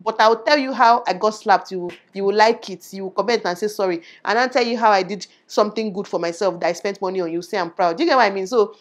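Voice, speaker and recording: A woman's voice: medium pitch, fast talker, few pauses. Outspoken young Nigerian woman vlogger. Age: young adult. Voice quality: small voice. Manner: animated, opinionated, loud. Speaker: YouTuber. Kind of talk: casual opinion vlog. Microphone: lavalier.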